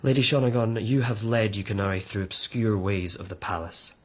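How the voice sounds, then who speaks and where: An actor in a radio drama playing a high-minded warrior lord disgusted by decadence, grave, lofty and declamatory. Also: male voice, brisk, close to an everyday voice. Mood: anguished, commanding.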